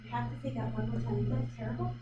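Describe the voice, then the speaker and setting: A woman's voice: a little high, steady tone, very fast, muffled recording. A woman artist leading a live painting demonstration, thinking aloud in a chatty, hesitant way with many fillers. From Vancouver Island, Canada. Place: art gallery. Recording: echoey room from far off, loud background noise.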